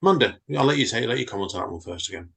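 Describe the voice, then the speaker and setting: A man's voice: medium pitch, animated, rapid-fire, fairly bright tone, husky. A man co-hosting a podcast, chatting casually and reading stories. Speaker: podcast co-host. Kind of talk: casual chat and story reading.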